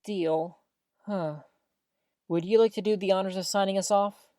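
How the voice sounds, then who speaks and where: A woman's voice: mid-range voice, fairly even intonation, normal speed, dark tone, no room sound, studio quality, lightly acted. A woman playing a stubborn, playful radio co-host in an audio drama, with a theatrical, mock-formal, quibbling manner. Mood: earnest, pleading. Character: stubborn, playful radio host who loves old tech.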